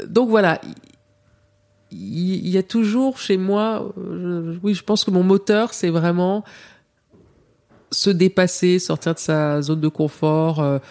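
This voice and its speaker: A woman's voice: on the low side, takes it slowly, darkish tone. Hesitant, thoughtful French journalist woman. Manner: hesitant, many fillers and restarts. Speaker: TV journalist, interview guest. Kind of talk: reflective interview talk.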